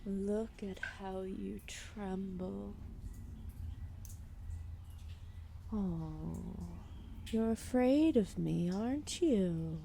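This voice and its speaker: A woman's voice: mid-range voice, vocal fry, dark tone, slight background noise. A woman voicing a giant, ancient serpent deity, regal, drowsy and amused, speaking in formal, condescending phrases in an ASMR roleplay audio drama. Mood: languid menace. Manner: slow, languid, formal, condescending speech.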